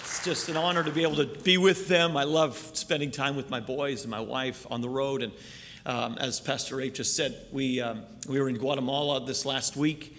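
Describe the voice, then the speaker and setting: A middle-aged man raised in Canada, a missionary preacher opening a sermon in a church, earnest and halting, with many fillers. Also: mid-range voice, steady tone, fairly bright tone, big-room sound, a little hiss or hum. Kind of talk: sermon introduction. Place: church sanctuary.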